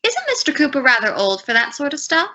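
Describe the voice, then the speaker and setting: A woman's voice: high voice, huge pitch swings, a little fast, pushed and bright, full character voice. A woman playing a dutiful, sympathetic household maid in an old-time radio sitcom, deferential and comically concerned. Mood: doubtful, curious. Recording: noisy, dull old radio recording.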